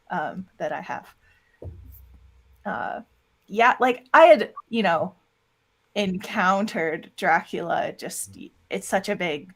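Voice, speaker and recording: A woman's voice: on the low side, fairly bright tone. A woman chatting casually as an interview guest, talking in a self-deprecating way. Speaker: interview guest, audio drama producer and voice actor.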